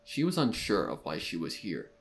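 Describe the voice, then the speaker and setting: A man reading scripted narration for a dark fan fiction mystery story. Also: lowish voice, huge pitch swings, moderate pace, rough recording. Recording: music under the voice.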